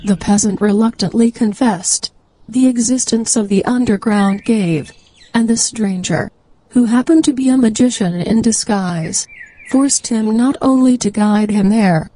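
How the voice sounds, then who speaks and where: A woman's voice: on the high side, a little slow, warm tone, rough recording. A woman narrating a scripted fairy tale as a storyteller.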